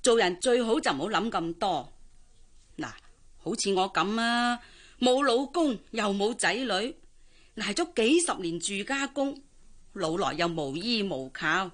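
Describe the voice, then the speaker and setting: An older Hong Kong housemaid in a radio play, a matchmaking auntie of medium pitch. She coaxes and advises in a chatty, cheerfully resigned way. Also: lively intonation, unhurried. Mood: concerned, advising. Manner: coaxing, advice-giving, cheerfully resigned. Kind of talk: Cantonese radio drama acting.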